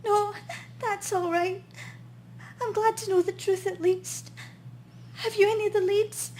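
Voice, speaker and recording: A woman's voice: very high voice, steady tone, measured pace, darkish tone, a little hiss or hum. An actress playing a bereaved, polite and hesitant woman client in a noir radio play. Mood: sad, grateful.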